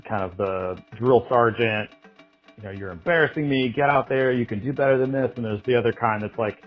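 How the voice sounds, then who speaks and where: A man in his thirties speaking as an interview guest, halting and reflective, restarting his sentences often. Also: medium pitch, dull audio, faint music behind. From United States. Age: 30s. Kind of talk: candid interview.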